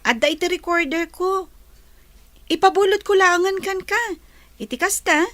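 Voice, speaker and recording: An actress plays a kind schoolgirl classmate in a radio drama. The voice is high and girlish, recorded dry and close, with a helpful manner. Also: normal speed, fairly bright tone, no echo.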